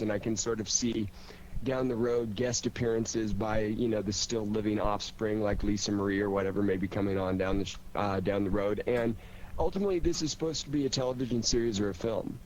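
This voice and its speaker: A man's voice: fairly low pitch, a little mellow, highs cut off. A man talking casually and enthusiastically in an interview as a writer of radio drama, in a rambling storytelling way. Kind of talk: casual conversational interview talk. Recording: noisy recording with background hum.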